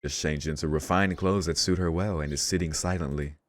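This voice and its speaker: A man's voice: very deep voice, steady tone, a little fast. A man reading a visual novel aloud as a let's play host, with casual reactions and game music behind him. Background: visual novel soundtrack.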